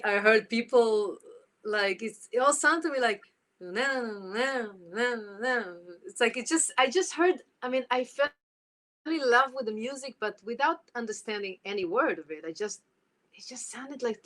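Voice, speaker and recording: A woman's voice: medium pitch, a bit bright. A woman in her forties with an Israeli accent, a guest musician talking casually in an interview, rambling with many restarts and repeated words.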